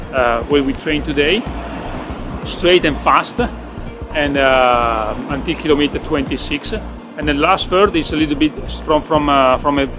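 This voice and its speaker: A man, a professional cyclist in an interview, speaking hesitant English with many fillers and non-native phrasing. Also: fairly high pitch.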